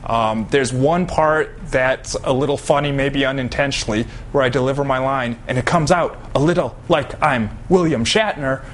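A man's voice: mid-range voice, normal speed, hardly any pauses, slight background noise. A young adult man giving a casual, informal introduction to camera while seated in a living room. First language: English. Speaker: video presenter, actor.

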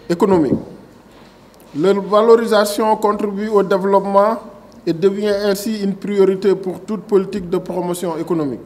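A man's voice: high-pitched, a little mellow. A man in his forties with a Senegalese French accent, speaking at a signing ceremony in a conference room. He moves from reading a prepared text to speaking off the cuff, with many fillers and restarts. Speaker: agency director giving a speech. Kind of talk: formal speech at a signing ceremony. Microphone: table microphone.

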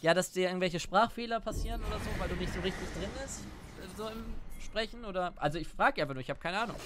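Young male streamer, high pitch, chatty questions over game calls, noisy headset stream. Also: fast. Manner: chatty, asks questions while calling plays. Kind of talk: casual gaming chat. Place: home room at a desk.

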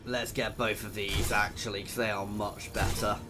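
A man in his thirties commentating a strategy game, husky and tired from a cold, chatty and thinking aloud with lots of ums. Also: lowish voice, little pitch movement, normal speed. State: hoarse with a cold. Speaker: gaming video host. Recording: voice over game music.

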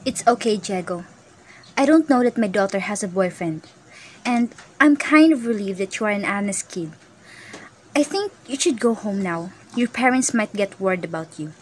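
A woman's voice: mid-range voice, animated, moderate pace, slight background noise, lightly acted, rough recording. A woman playing a stern but caring mother of a teenager in an amateur radio drama. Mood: calm, relieved.

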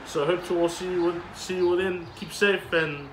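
A young man, a barber, talking through a haircut tutorial in a relaxed, plain way with frequent ums. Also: sits high, moderate pace, noisy background.

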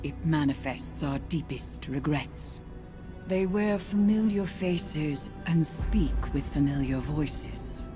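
A woman's voice: low-pitched, takes it slowly. An actress playing a grave, cryptic witch in a video game, speaking formally. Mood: solemn. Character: solemn, ominous witch guiding a soldier through haunted ship. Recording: loud game music and effects over voice.

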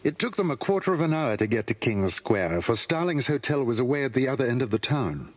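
A man's voice: medium pitch, husky. A man with a British accent narrating a scripted children's story.

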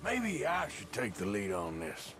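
Wary, plain-spoken American outlaw. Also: male voice, fairly low pitch, huge pitch swings, measured pace, a bit bright, a little hiss or hum, heavily acted. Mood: doubtful. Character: wary, loyal male outlaw gunman. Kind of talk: video game dramatic acting.

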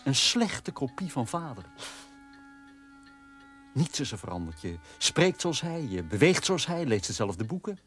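An actor playing a resentful, tormented brother in a radio drama, emphatic and reproachful. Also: male voice, medium pitch, sing-song, a little slow, long pauses, fairly bright tone, soft background music. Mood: accusing. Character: resentful, accusing brother tormented by his father's guilt.

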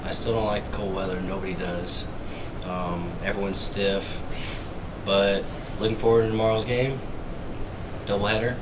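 A man's voice: on the low side, little pitch movement, normal speed, long pauses, background noise. A man in his early twenties from Arizona, a college baseball player giving plain-spoken, matter-of-fact answers at a postgame press conference. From Arizona, USA. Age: early 20s. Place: indoor press conference table. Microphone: table microphone.

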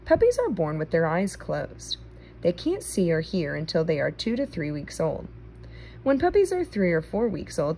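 Woman, children's read-aloud, slightly low pitch, very varied intonation, clear phrasing for kids, homemade phone recording. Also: darkish tone, slight background noise. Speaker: reader of a children's book.

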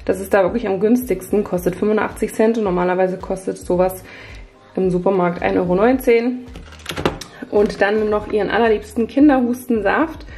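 A woman's voice: medium pitch, fairly even intonation, moderate pace, dark tone, faint music behind. A woman in her thirties chatting casually through a family grocery haul in her kitchen, showing each item to her viewers. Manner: chatty, upbeat product run-through. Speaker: family vlogger. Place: home kitchen at night.

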